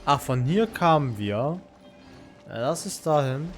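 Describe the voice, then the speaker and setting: A man's voice: fairly high pitch, lively intonation, unhurried. A man with a German accent giving casual live commentary as he streams a video game. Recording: game music behind the voice. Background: game music.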